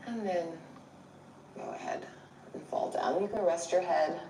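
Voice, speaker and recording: A woman's voice: fairly low pitch, a little slow, gravelly, background noise. A woman guiding a yoga class as instructor, giving encouraging, step-by-step cues. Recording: echoey room, distant mic, music behind. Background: music.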